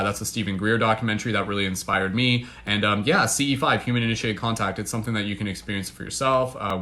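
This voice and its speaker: A young man with a Canadian accent, chatting casually and excitedly to livestream viewers, full of fillers. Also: mid-range voice. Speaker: livestream host.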